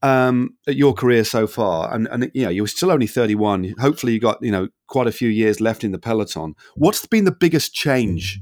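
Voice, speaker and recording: A man's voice: medium pitch, expressive, brisk, hardly any pauses, dry recording, studio quality. A man hosting an interview, asking long, rambling questions full of fillers. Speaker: interviewer, podcast host. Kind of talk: casual interview.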